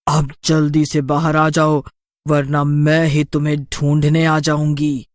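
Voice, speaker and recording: A woman voicing a menacing blind witch in a horror story, with a slow, taunting, drawn-out delivery. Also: sits low, completely flat delivery, no room sound, studio quality. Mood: taunting menace. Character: menacing blind witch who hunts children.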